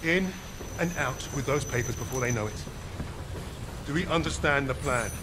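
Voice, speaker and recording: A man playing a composed British special forces squad leader in a World War II video game, speaking in a measured, commanding, articulate way. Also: mid-range voice, noisy background, close to an everyday voice. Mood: commanding, steady. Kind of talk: video game cutscene acting. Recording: loud music over dialogue.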